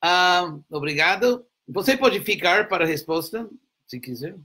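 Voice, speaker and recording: A man giving a spiritual lecture and answering questions, unhurried and explanatory with a gentle humor. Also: high voice, lively intonation. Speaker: spiritual teacher.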